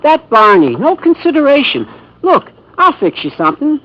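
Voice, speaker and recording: An American actor playing a small, wispy, fussy, excitable waiter in 1950s radio drama. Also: male voice, extremely high pitch, normal speed, a little hiss or hum, full character voice. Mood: fussy, confiding. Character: wispy little nervous, kindly waiter. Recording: muffled 1950s radio recording.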